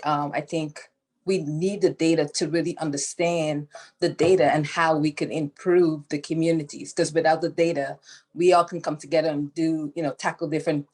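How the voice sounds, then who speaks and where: A woman from Guyana with a notably low voice, talking through unscripted panel remarks. She hesitates often, filling pauses and restarting her sentences as she goes. The sound comes through a video call. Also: a little mellow. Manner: hesitant, many fillers and restarts. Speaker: nonprofit founder speaking on a panel.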